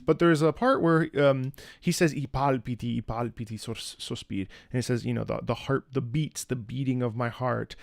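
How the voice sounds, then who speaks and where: A man streaming a casual live reaction and musical analysis, animated and conversational, close to the microphone. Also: mid-range voice, moderate pace, vocal fry, hardly any pauses, no room sound. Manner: animated, conversational, profane, demonstrates by singing. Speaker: streamer and opera singer reacting.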